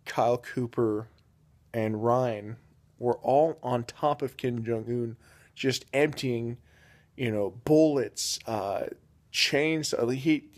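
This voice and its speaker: A young man telling an absurd comic story off the cuff, rambling and deadpan with many fillers. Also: medium pitch, slow pace. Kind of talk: improvised comedic storytelling.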